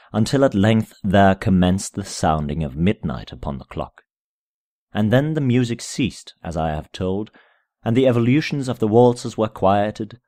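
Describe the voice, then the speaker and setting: A man reading a gothic horror story aloud in a measured, solemn, theatrical manner, at times dropping to a hush. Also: on the low side, dry recording, clean studio sound. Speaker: amateur reader of a short story. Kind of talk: dramatic scripted narration of a gothic horror tale.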